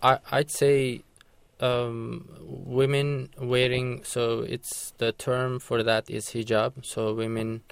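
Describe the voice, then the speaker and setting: Male radio guest, gravelly voice, unhurried, halting delivery. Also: mid-range voice, little pitch movement, no echo. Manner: hesitant, full of ums. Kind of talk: call-in radio interview.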